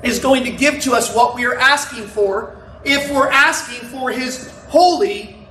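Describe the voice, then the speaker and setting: A man preaching a sermon to his congregation without a microphone, talking in a loud, conversational way with asides to the people. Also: very high-pitched, unhurried, a little hiss or hum. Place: church sanctuary. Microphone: no microphone, recorded from a distance. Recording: roomy, distant church recording.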